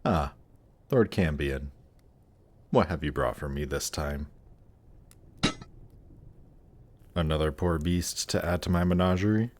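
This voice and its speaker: A man's voice: rumbling low, normal speed, a little mellow, a little hiss or hum. A man playing a suave, haughty demon prince in a fantasy audio drama, speaking in a polished, courtly and condescending manner. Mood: dryly curious.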